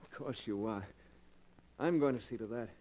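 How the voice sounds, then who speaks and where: An actor playing a nervous, evasive husband with a guilty secret, halting and stammering in 1940s radio drama style. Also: male voice, mid-range voice, mellow, slight background noise, close to an everyday voice. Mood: evasive, restless. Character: jittery guilt-ridden locksmith turned criminal, evasive husband. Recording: distant-sounding 1940s radio recording.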